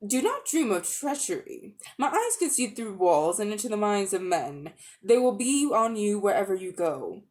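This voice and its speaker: A woman's voice: medium pitch, very animated, normal speed, few pauses, darkish tone, natural voice. A woman voicing a haughty, scornful witch queen who commands with contempt in a dramatic storybook reading. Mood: commanding, menacing.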